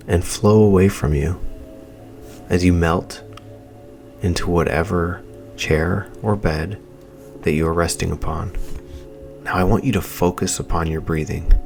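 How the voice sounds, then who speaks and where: A man coaching listeners through a guided meditation, speaking in a calm, soothing way with long pauses. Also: sits low, vocal fry.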